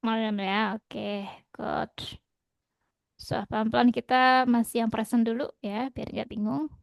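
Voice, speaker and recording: Female language teacher, higher-pitched, patient and explanatory, with a verbal "ya" tic, close and dry. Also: fairly even intonation, creaky, no echo. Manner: patient, tags nearly every phrase with a quick "ya"; switches between German and Indonesian to explain. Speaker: German teacher. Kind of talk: online language lesson.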